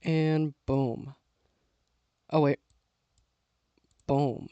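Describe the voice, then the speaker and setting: A young man giving casual, self-talking commentary while building with LEGO, losing his voice and clearing his throat now and then. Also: fairly high pitch, very slow, no room sound, muffled recording. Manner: relaxed, mutters to himself while building.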